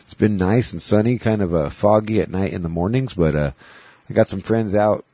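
A man's voice: fairly low pitch, brisk, dark tone, breathy edge, no echo. A man hosting a casual chat show, rambling and swearing with sarcastic asides, a bit congested after a cold. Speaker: podcast host. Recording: music playing in the background.

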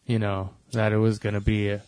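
Lowish-voiced American man, dry sound. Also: level tone, a little fast, a little mellow, no room sound. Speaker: podcast co-host. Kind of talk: casual chat.

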